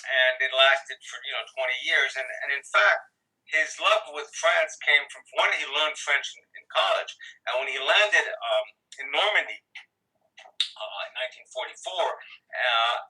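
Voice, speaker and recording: A man's voice: medium pitch, unhurried, bright, forceful tone. A man in his sixties, a guest on a video call, talking casually, rambling and correcting himself with a wry edge. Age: 60s. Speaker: interview guest. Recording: distant video call audio.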